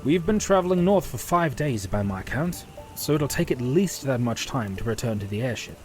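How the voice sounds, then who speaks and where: A man's voice: medium pitch, expressive, normal speed, faint music behind, pitched above the performer's own voice, natural voice. A man voicing an earnest, weary half-elf quest leader with a grave, serious manner in a fantasy audio story.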